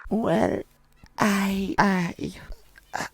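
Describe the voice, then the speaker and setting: Woman voicing a timid, soft, higher-pitched young robot. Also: fairly even intonation, very slow, gravelly, breathy edge, dry recording, heavily acted. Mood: shy, hesitant. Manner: hesitant, soft-spoken. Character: timid, worried young robot who lost an arm.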